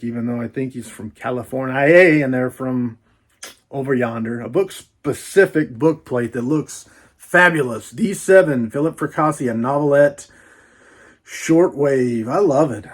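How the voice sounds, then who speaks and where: A man's voice: medium pitch, darkish tone. A man doing a casual, folksy book unboxing vlog, rambling playfully with repeats and little asides.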